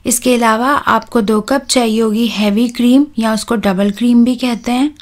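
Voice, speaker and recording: A woman, hosting a home cooking channel and talking calmly through a recipe step by step, with soft "uh" fillers. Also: a little high, moderate pace. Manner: calm, instructive, with soft "uh" fillers.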